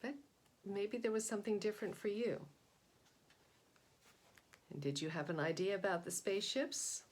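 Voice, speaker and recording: A woman's voice: mid-range voice, fairly even intonation, normal speed, long pauses. A middle-aged woman presenting a read-aloud, talking informally and explaining things to young listeners. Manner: explanatory, asks listeners questions. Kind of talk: informal children's book talk to young listeners.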